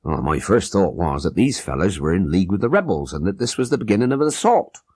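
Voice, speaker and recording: Male narrator as ex-soldier telling his crime; husky, old-fashioned, earnest, dramatically swooping delivery. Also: sits low, big pitch swings, quick pace, darkish tone, dry recording, clean studio sound, heavily acted. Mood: tense recounting. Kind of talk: audiobook character narration.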